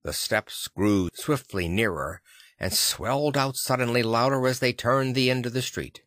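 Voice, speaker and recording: A man reading literary fiction as an audiobook narrator, with a measured, deliberate storytelling delivery. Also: mid-range voice, a bit bright, dry recording, clean studio sound.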